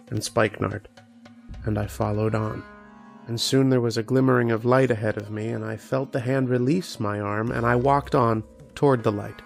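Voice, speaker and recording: A male actor as a terrified scientist recounts his ordeal in an old-time horror radio play. His mid-pitched voice crackles with fry, and he speaks in dramatic, halting, repeated phrases. Faint music plays behind him. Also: lightly acted.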